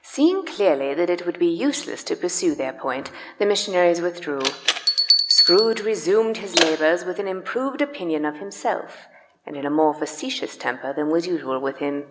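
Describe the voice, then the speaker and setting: A woman's voice: on the low side, expressive, moderate pace, heavy background noise. A woman narrating a scripted story dramatically for a live radio play. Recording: live in echoey church hall.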